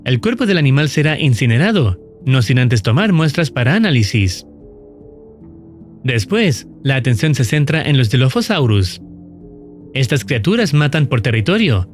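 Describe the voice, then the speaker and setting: A man giving scripted, explanatory narration in a measured, formal storytelling manner. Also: medium pitch. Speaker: narrator of a horror series recap.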